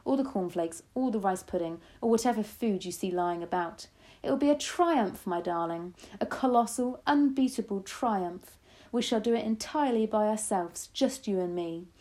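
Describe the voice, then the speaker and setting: A woman's voice: medium pitch, darkish tone, natural voice. A woman voicing a feisty, fierce old grandmother with bold, impatient, gleeful declarations in a storybook reading. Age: older. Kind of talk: storybook read-aloud.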